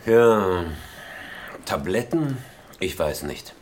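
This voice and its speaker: Coaxing cop with swooping intonation. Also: male voice, deep voice, very animated, a little hiss or hum, full character voice. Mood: doubtful, musing. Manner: colloquial, persuasive, halting. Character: coaxing, hesitant male police colleague. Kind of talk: naturalistic German radio drama acting.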